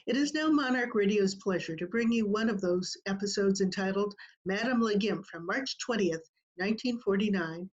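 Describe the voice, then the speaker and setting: A woman's voice: mid-range voice, moderate pace, few pauses. A woman announcer reading a scripted introduction to an old-time radio show. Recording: dull lo-fi video-call audio.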